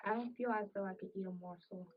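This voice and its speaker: A woman's voice: mid-range voice, fairly even intonation, quick pace, natural voice. A woman playing a sickly, bitter, complaining farm wife with old-fashioned rural phrasing in an amateur period drama. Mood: ailing, complaining. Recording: muffled audio, distant microphone.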